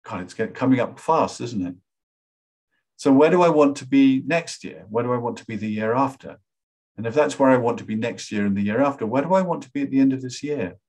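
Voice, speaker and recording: A man in his sixties teaching a webinar from a small room at home, talking over a webcam in a relaxed, rambling way with lots of "you know". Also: mid-range voice, a little fast. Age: 60s. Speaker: workshop facilitator. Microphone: webcam on a video call.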